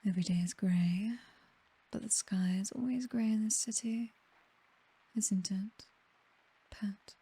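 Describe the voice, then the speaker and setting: Woman playing a slow, breathy British vampire mistress. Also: mid-range voice, warm tone. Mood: wistful, languid. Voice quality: breathy, whispery. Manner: languid, teasing, aristocratic. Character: possessive, seductive, condescending female vampire mistress. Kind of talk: intimate ASMR roleplay.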